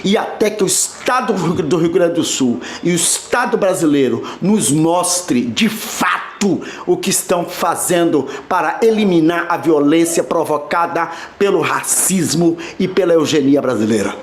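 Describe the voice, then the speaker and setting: A man's voice: on the high side, expressive, measured pace, hardly any pauses. Man giving a rousing protest speech. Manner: rousing, declamatory, shouting at peaks. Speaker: activist in a played video. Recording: roomy echo with faint noise.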